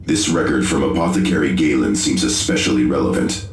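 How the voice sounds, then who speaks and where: Rumbling, impassive tech warrior. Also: male voice. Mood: formal, impassive. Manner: formal, measured, impassive. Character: dutiful, formal armored tech-priest warrior reporting to commander. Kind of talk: video game cutscene acting. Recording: echoing, over faint music.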